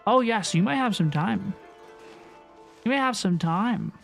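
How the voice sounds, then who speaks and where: A woman streams a video game in a mid-pitched voice. She is chatty and effusive, casually answering viewer messages. Faint game music plays underneath. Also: expressive, a little slow, vocal fry. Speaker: game livestreamer. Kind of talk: casual livestream chat and game reaction.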